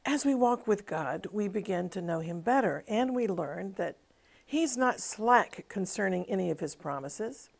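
A woman's voice: mid-range voice, animated, a little slow, vocal fry. A middle-aged woman television minister giving a devotional teaching with a personal testimony, in an earnest, emphatic preaching cadence.

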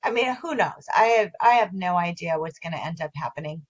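A woman who coaches on video marketing, talking in a casual interview with animated delivery and lots of restarts. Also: lowish voice, fairly quick. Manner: animated, many restarts and fillers. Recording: dull lo-fi audio.

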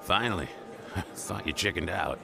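An actor playing a gruff, downtrodden homeless man with a gravelly, terse delivery in a radio drama. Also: low-pitched, lively intonation, normal speed, noisy background, full character voice. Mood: gruff, wry.

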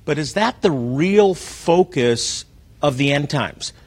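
Slightly husky American male TV host. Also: on the high side, expressive, slow pace. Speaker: TV talk show host.

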